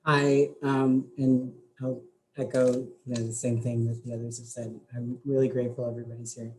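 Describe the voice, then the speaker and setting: A man's voice: medium pitch, flat delivery, moderate pace, darkish tone. A young man, a student, giving informal thanks on a video call and sounding emotional. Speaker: law student finalist. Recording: noisy video call with room ambience.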